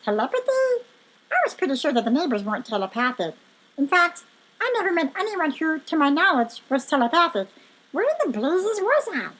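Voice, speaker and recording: A woman voicing an elderly male stuffed rabbit, a chatty, excitable storybook adventurer who tells his tale with exclamations. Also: high voice, fairly quick, low-quality recording. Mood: excited, bewildered.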